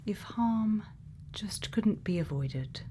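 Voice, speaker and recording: A woman doing an ASMR roleplay as a gentle scientist, speaking in a breathy whisper and soft murmur, slow and deliberate with long pauses. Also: medium pitch, animated, slight background noise. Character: gentle scientist questioning a robot friend. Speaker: ASMR performer.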